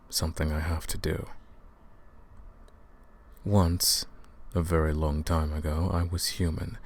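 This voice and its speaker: A man playing a centuries-old vampire who hunts his own kind, brooding and menacing, in a measured, scripted audio roleplay monologue spoken straight to the listener. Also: a little mellow, exaggerated voice. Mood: wry, menacing, then wistful. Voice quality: low, gravelly.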